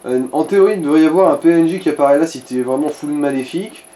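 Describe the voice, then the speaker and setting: A man's voice: on the high side, fast talker, warm tone. A man giving casual, laid-back game advice to a friend in crude slang. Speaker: let's play co-commentator.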